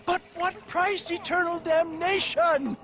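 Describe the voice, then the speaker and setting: A high-voiced snake-oil huckster. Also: male voice, fairly even intonation, takes it slowly, fairly bright tone, full character voice. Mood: hawking. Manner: theatrical sales patter, chuckling, blustering. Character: smooth-talking male snake-oil huckster, showy and evasive. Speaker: traveling tonic salesman. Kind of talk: video game cutscene voice acting.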